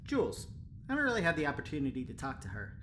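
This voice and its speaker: Low-voiced, animated, eager engineer guy. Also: rapid-fire, a little hiss or hum, exaggerated voice. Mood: casual, upbeat. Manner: animated, hesitant, rambling. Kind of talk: audio drama acting.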